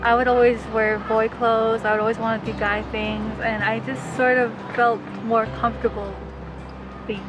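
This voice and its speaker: An eighteen-year-old with a woman's voice, answering hesitantly with many pauses and ums. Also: on the high side, level tone, dull audio. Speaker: interviewee. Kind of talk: casual interview answers. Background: background music.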